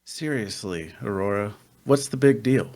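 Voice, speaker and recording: A man's voice: lowish voice, expressive, a little slow, a little mellow. A man playing a big, easygoing warrior who is casual and blunt, in a fantasy drama table read.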